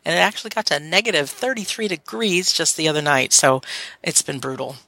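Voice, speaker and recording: Bright eager woman radio host. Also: sits low, expressive, a little fast, no echo. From Massachusetts, USA. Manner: bright, eager, laughs easily. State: has a cold. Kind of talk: enthusiastic interview hosting.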